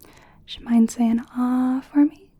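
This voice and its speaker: A woman voicing a gentle, attentive clinician in a soft-spoken ASMR medical roleplay, breathy and often whispering, with a reassuring manner. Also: a little high, fairly even intonation, measured pace. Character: gentle, attentive female clinician giving a check-up.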